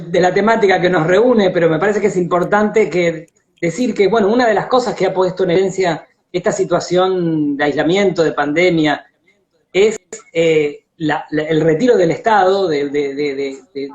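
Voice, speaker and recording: Dull, lo-fi stream audio carries a high-pitched Argentine man. He discusses things casually but haltingly, repeating little words while he searches for the next phrase. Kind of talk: casual live online discussion.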